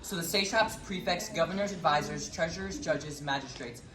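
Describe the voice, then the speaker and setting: A man's voice: fairly high pitch, normal speed, pushed and bright. Man narrating scripture in echoey hall. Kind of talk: dramatized Bible recitation. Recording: echoey hall, faint noise.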